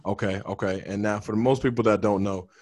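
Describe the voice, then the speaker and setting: A man's voice: on the low side, steady tone, quick pace, a little mellow. A man interviewing a rapper, asking slangy, casual questions full of restarts. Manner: slangy, friendly, stacks hesitant restarts.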